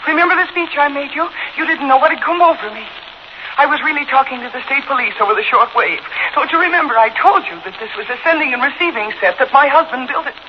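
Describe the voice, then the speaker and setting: A frightened yet resourceful housewife in a 1940s radio thriller. Her voice is high and anxious, carried on a dull, noisy old broadcast. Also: animated, fast. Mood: shaken resolve. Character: anxious but resourceful housewife and mother held hostage.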